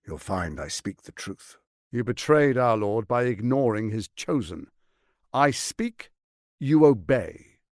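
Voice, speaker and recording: A man's voice: lowish voice, no room sound, clean studio sound, full character voice. A man voicing a suave, scheming, arrogant archduke in a fantasy video game, silky, grandiose and condescending in his theatrical delivery. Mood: mock courteous.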